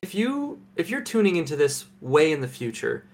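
Earnest deadpan Canadian man. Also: on the high side, a little hiss or hum. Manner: earnest, halting restarts. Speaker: podcast co-host. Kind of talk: deadpan mock-serious comedy chat. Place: home, remote recording.

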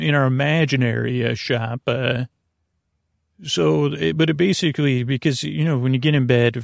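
A man with a mid-pitched, creaky voice hosts a podcast meant for falling asleep. He meanders and stalls, breaking off his own sentences and picking them up again. The sound is very dry, with no room around the voice. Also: no echo. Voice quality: gravelly, creaky. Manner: meandering, halting, absent-minded, self-interrupting. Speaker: podcast host. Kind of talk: rambling bedtime podcast monologue.

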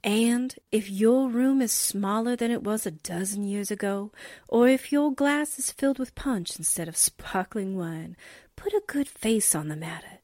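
A woman's voice: mid-range voice, unhurried, a little mellow, no echo, studio quality. A woman presenting for a radio drama cast in a scripted, read-aloud style. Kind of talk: scripted holiday greeting and essay reading.

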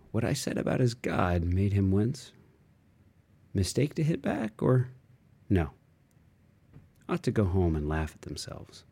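A man reads literary fiction in a low, relaxed voice. His delivery is unhurried and musing, moving through fragmented thoughts with expressive shifts. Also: creaky, slightly rough. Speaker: audiobook reader. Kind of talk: literary audiobook narration of interior monologue.

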